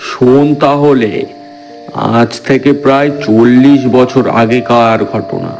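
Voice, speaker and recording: A man's voice: mid-range voice, fairly even intonation, takes it slowly, music in the background, poor audio. A man voicing a stubborn, proud old village man in a horror cartoon, telling ghost stories. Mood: reminiscing. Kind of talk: Bengali horror cartoon dialogue.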